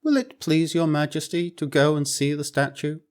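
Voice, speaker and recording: A man voicing a proud, loyal court lady in a storybook reading, speaking in a stately, measured way. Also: a little high, lively intonation, close to an everyday voice. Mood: solemn.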